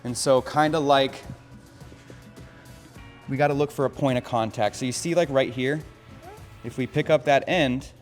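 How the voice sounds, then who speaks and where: A man's voice: medium pitch, steady tone, normal speed, long pauses, long reverb tail, faint music behind. An American man hosting a casual hobby vlog, upbeat and coaching, explaining each step plainly. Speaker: aquarium hobbyist host.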